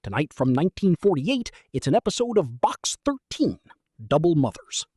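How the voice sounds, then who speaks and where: A man's voice: a little high, very animated, moderate pace, warm tone, breathy edge, dry recording, studio quality. A man playing an earnest small-town radio news anchor, reading a scripted bulletin.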